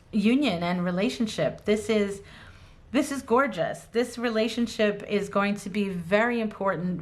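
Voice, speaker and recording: A woman talking through a tarot reading at an easy middle pitch, her voice a little hoarse. She gushes over each card with warm enthusiasm, often pausing with ums and starting her sentences over. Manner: gushing, enthusiastic, many ums and restarts. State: slightly hoarse. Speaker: tarot reader.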